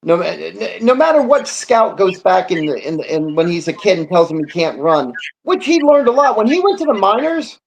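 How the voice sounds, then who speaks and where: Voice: medium pitch, lively intonation, moderate pace, creaky, few pauses, husky. A person in a casual baseball talk show discussion, agreeable and reflective, repeating points for emphasis, on a noisy recording.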